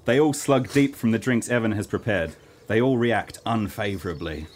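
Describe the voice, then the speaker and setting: A man's voice: lowish voice, normal speed. A man narrating a scripted comedy radio play in a mock-serious storytelling manner.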